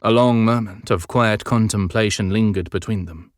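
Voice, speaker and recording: A man's voice: fairly low pitch, normal speed, no echo, clean studio sound. A man narrating an audiobook in a scripted, dramatic storytelling style.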